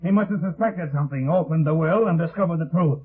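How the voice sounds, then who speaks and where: An actor playing a vengeful, bitter older killer in a 1950s radio drama, ranting melodramatically on a muffled vintage recording. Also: male voice, a little high, quick pace, husky. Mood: sly confessing.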